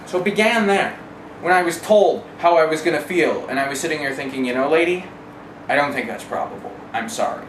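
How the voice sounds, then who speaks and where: A man's voice: a little high, a little fast, a bit bright. A man giving a candid first-person account in a wry way, casually swearing and acting out remembered exchanges. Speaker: interviewee telling a personal story. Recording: roomy, distant mic, clear background noise.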